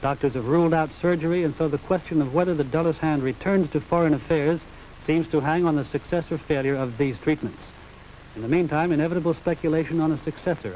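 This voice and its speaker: A man's voice: on the high side, darkish tone. A man with an American accent delivering a scripted news report as a correspondent, formal and declarative. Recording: dull 1950s broadcast, faint noise.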